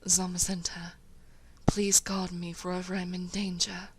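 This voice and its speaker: A woman voicing a proud, solemn female warrior creature with a dramatic, measured delivery, as in a cartoon. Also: on the low side, flat delivery, background noise. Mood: solemn, pleading.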